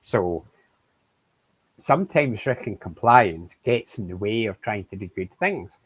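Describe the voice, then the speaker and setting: Male charity rep, husky low voice, measured questioning, dry sound. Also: animated, dry recording, rough recording. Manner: measured, thoughtful, asks questions. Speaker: charity representative. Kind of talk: conversational interview.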